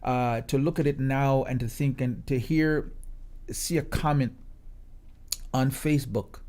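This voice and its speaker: A man's voice: medium pitch, fairly even intonation, unhurried, warm tone. A man with a Guyanese accent talking conversationally in a radio discussion, emphatic and repeating phrases to drive points home.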